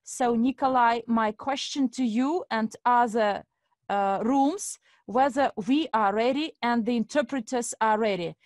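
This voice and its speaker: A woman's voice: a little high, measured pace, darkish tone, no echo, studio quality. Woman hosting a webinar. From Estonia. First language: Russian. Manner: formal, addresses audience as dear friends. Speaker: webinar host. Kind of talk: live online webinar presentation.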